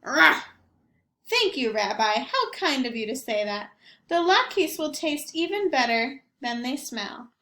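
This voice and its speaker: A woman's voice: high-pitched, very animated, unhurried, a bit bright, echoey room, full character voice. A woman voicing a kindly, fussing old grandmother who cannot see or hear well. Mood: fond. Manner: fond, fussing and sing-song. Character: kindly old woman, half blind and half deaf, chatty.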